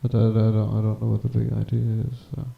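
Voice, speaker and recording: A man rambling on a live stream, stammering and halting, with wordy, formal phrasing. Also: on the low side, monotone, vocal fry, roomy sound, phone-quality sound, heavy background noise. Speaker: prank call stream host.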